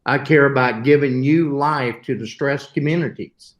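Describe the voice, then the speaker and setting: An older man in a middle-pitched voice. He gives an earnest webinar talk and sometimes stops to correct himself. The sound is roomy and echoey. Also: moderate pace. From southern United States. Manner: earnest, with occasional self-corrections. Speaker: webinar host. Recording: roomy echo.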